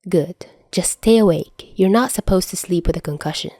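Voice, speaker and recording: A woman's voice: lowish voice, moderate pace, mellow, no room sound, studio quality, lightly acted. A woman voicing a bossy, teasing young man in an audio story, nagging and petulant. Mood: firm, concerned. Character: bossy, pouting, teasing young man minding his injured partner.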